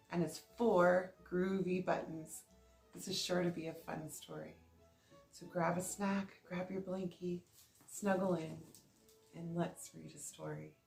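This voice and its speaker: A woman's voice: fairly low pitch, darkish tone. A woman hosting a children's storytime, reading a picture book aloud in a playful, sing-song way and speaking straight to young listeners.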